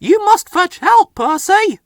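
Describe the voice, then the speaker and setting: A man voicing an urgent railway fogman who shouts warnings in a storybook. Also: very high-pitched, sing-song, takes it slowly, dry recording, studio quality, pitched above the performer's own voice, heavily acted. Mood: urgent, alarmed.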